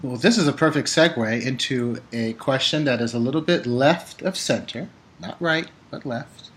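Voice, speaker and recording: Brief: male host, mid-range voice, lively reactions to guest. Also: medium pitch, normal speed. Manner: enthusiastic, expressive reactions. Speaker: interview host. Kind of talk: interview hosting.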